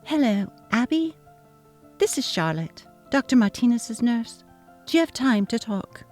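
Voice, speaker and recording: A woman reading the part of a calm, kind nurse who speaks softly and reassuringly. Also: fairly high pitch, unhurried, faint music behind, pitched above the performer's own voice. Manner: soft, quiet, reassuring.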